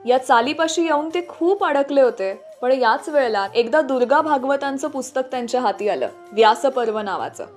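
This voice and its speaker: A woman radio host with a fairly high voice narrates scripted stories about music. Soft music plays underneath her. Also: expressive, moderate pace, few pauses. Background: background music.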